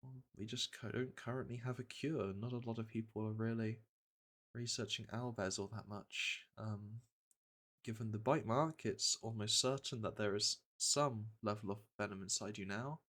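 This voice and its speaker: Man playing a soft-spoken, low-voiced veteran monster hunter. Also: fairly even intonation, moderate pace. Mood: explaining, sober. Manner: soft, hesitant, soothing delivery. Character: gentle veteran monster hunter, male, reassuring and matter-of-fact. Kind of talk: soft-spoken ASMR fantasy roleplay.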